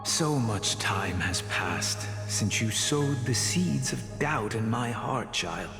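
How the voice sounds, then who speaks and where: An actor playing a weary, aged sage and cautious mentor in a video game, speaking with solemn, measured gravity. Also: male voice, mid-range voice, expressive. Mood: weary, doubtful. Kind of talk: video game story acting. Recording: long echoing hall reverb under music.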